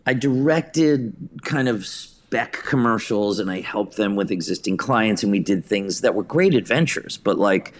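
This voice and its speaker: Deep voiced guy on a theatre interview, chatting thru stories, quotes people as he goes. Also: lively intonation, a little slow, hardly any pauses, slight background noise. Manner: anecdotal, hesitant phrasing, acts out quotes. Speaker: interview guest, theatre director and writer. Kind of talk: conversational storytelling interview.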